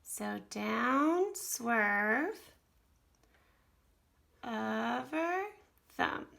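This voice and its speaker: A woman with a bright, fairly high voice gives a children's art lesson. She talks straight to her young viewers, encouraging them and explaining each step of the drawing as she goes. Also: expressive, very slow, creaky. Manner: encouraging, instructional, speaking directly to young viewers. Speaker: art teacher leading children's activity.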